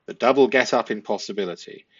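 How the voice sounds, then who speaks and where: A man giving analytical commentary to viewers as a host, speaking emphatically and deliberately, often with rhetorical tag questions. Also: fairly low pitch, breathy edge, highs cut off. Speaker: host analysing a police interview.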